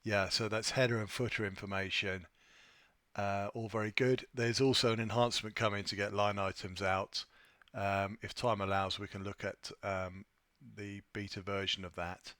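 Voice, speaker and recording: A man presenting an informal software tutorial, thinking aloud in a somewhat hesitant way as he demonstrates. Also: on the low side, level tone, normal speed, dry recording. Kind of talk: informal screen-share walkthrough.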